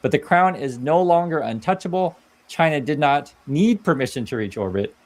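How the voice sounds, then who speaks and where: A man's voice: a little high, lively intonation, normal speed. A man from Vietnam giving casual explainer commentary, talking conversationally and often tagging "right?" onto his points. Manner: conversational, keeps asking "right?". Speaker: commentator on a played video clip.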